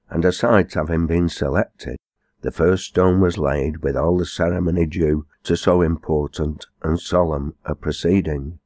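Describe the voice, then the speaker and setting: A man reading a storybook aloud as scripted narration, in a measured, formal storytelling manner. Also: deep voice, a little mellow, no room sound, studio quality. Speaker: audiobook reader.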